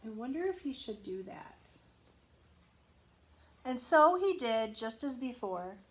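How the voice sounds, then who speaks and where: A woman reading a storybook aloud to children, expressively, pausing to wonder aloud and explain things simply. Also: fairly high pitch, very animated. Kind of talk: children's read-aloud with asides to the listener.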